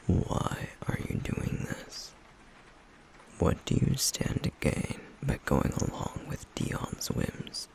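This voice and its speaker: A man voicing a stoic, guarded flame demon in an intimate ASMR roleplay, with a breathy, gravelly murmur and a terse, deadpan, caring manner. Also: mid-range voice, full character voice.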